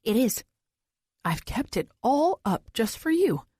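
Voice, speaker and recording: A woman's voice: fairly high pitch, sing-song, slow, warm tone, slightly rough, no room sound, studio quality, pitched above the performer's own voice, heavily acted. A woman voicing a creepy, eager, doting man who holds someone captive, in audiobook dialogue. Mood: proud, doting. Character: creepy, eager, doting adult male captor.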